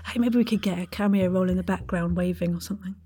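A woman's voice: on the low side, steady tone, racing, dark tone, a little hiss or hum. A woman hosting a casual podcast interview in an effusive, playful way, with quirky slangy exclamations.

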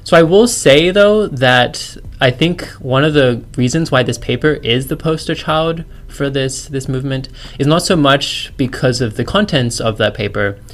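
A man's voice: medium pitch, moderate pace, few pauses. An Australian-accented man in his thirties, a university lecturer guesting on a podcast. He talks in an easy, chatty way and often jokes about himself. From China. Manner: chatty, self-deprecating, laughs easily. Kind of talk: casual podcast interview.

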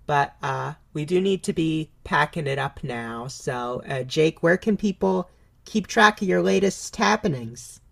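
A man's voice: on the high side, animated, a little slow, vocal fry, a bit bright. An easygoing, agreeable man hosting a casual podcast chat. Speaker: podcast host.

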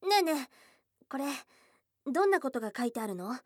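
A woman's voice: high voice, big pitch swings, fairly quick, dry recording, clean studio sound. A woman playing a young goblin girl, a blunt, teasing yet shy girlfriend, in an intimate ASMR voice drama. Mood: curious, playful. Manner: blunt tomboyish speech, teasing and bashful. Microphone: close dry studio microphone.

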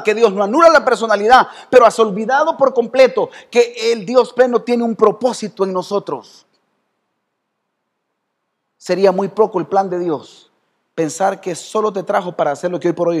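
A man with a Salvadoran Spanish accent preaching a sermon as pastor in a church, talking conversationally and calling on members of the congregation by name. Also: high voice. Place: church hall.

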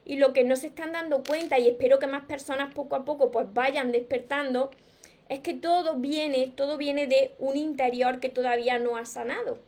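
A woman's voice: high voice, fairly even intonation, moderate pace, darkish tone. A middle-aged woman from Spain, speaking with a Spanish accent as a personal growth coach on a live stream, explaining earnestly and answering viewers' comments. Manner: earnest, teacherly, addresses viewers directly with repeated phrases.

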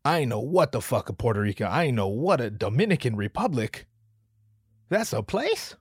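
A man's voice: mid-range voice, big pitch swings, moderate pace, breathy edge, no echo, studio quality. A man from the US Northwest hosting a solo comedy podcast, rambling and cursing with lots of restarts. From US West Coast, Pacific Northwest. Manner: profane, rambling, self-interrupting. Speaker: podcast host.

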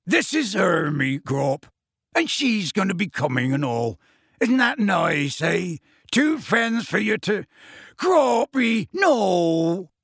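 A man voicing a big, bumbling, soft-hearted half-giant gamekeeper in an audiobook, with hearty, folksy, coaxing speech. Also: high-pitched, very animated, takes it slowly, vocal fry, husky, no room sound, clean studio sound, voice pushed up, heavily acted. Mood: eager, hearty. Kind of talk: audiobook character voice.

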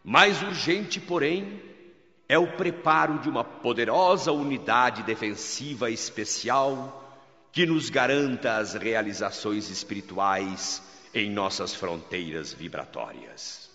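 A man's voice: medium pitch, animated, slow pace, sharp tone, heavily acted. An actor playing a grave male governor giving a declamatory speech to an assembly in a radio drama. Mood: solemn. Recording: dull lo-fi radio drama, long hall reverb. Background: faint music.

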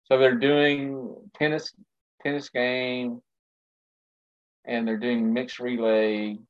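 A man's voice: medium pitch, flat delivery, smooth. A man in casual chat who rambles and stops to restart his sentences. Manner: rambling, halting, many restarts. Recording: dull lo-fi sound.

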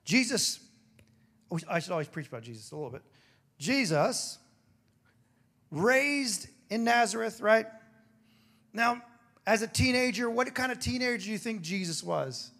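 A man preaching a sermon with a throaty, hoarse voice, moving between emphatic declarations and casual, joking asides. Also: sits high, expressive, normal speed, long pauses, bright, forceful tone. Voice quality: gravelly, throaty. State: hoarse after singing. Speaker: pastor.

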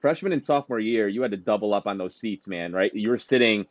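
A man's voice: on the low side, fast, no echo. A man co-hosting a podcast, telling rambling anecdotes broken by laughter in a casual chat. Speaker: podcast co-host.

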